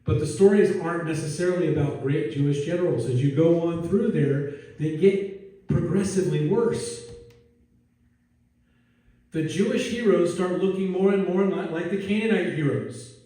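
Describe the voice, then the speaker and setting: Male pastor, mellow warm tone, casual and self-deprecating, very echoey church recorded from a distance. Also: fairly high pitch, moderate pace. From Netherlands. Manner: casual, self-deprecating, asks the congregation questions. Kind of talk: conversational sermon. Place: church sanctuary. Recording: echoey church hall from afar.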